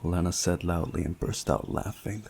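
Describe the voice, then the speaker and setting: A man reading a visual novel aloud as a playthrough host, in a measured, deliberate way with pauses. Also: deep voice, vocal fry, a little mellow, slight background noise. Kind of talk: scripted narration of a visual novel with commentary.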